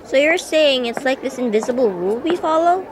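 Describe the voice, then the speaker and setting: A woman's voice: high-pitched, expressive, a little fast, a crowd in the background. A woman playing a skeptical student classmate in an amateur radio drama, talking in a casual, blunt, questioning way. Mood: musing. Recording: noisy street ambience with vehicles. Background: outdoor urban noise, vehicles.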